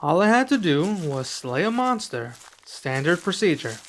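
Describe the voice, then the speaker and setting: A young man playing a serious, guarded dragon adventurer in an ASMR roleplay, speaking softly and slowly with pauses. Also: sits high, very animated, low-quality recording. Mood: explaining. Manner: soft-spoken, measured, with pauses.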